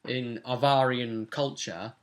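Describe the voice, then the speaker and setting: A man's voice: medium pitch, steady tone, slow. A young man with a British accent casually recapping a tabletop role-playing session as its game master. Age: young adult. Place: small cluttered room at home.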